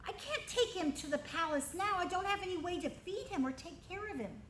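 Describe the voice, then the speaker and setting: A woman's voice: very high voice, animated, a little fast, fairly bright tone, a little hiss or hum, voice pushed up. A woman voicing a bossy, decisive young princess who gives eager commands. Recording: roomy echo.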